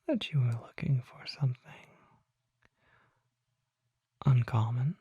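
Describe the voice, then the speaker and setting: A performer voicing a refined, mysterious vampire shopkeeper in a soft, breathy ASMR whisper, speaking formally with deliberate pauses. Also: mid-range voice, no room sound, lo-fi sound. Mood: soft intrigue. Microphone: close microphone.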